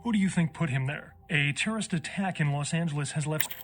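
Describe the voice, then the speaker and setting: An actor as a formal male news anchor, higher-pitched. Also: background noise, close to an everyday voice. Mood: matter-of-fact. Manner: measured, formal newsreader delivery. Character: brisk male TV news anchor, matter-of-fact. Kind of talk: scripted broadcast news reading.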